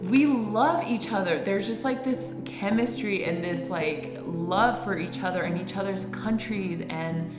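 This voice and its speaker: A woman's voice: medium pitch, unhurried. A young woman vlogging casually, rambling and leaning on "like" as she talks. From United States. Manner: rambling, heavy use of "like". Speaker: travel vlogger. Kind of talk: casual vlog chat. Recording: echoey room with music behind. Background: background music.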